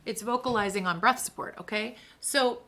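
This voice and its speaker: A woman's voice: on the high side, moderate pace, slight background noise. A woman voice teacher leading sung vocal exercises and explaining technique in a casual, self-deprecating way, with a big singing voice. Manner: sings staccato scale syllables then explains technique in a relaxed spoken voice; self-deprecating, apologizes for slips. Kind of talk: vocal exercise lesson with piano. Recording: loud piano under the voice. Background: piano accompaniment.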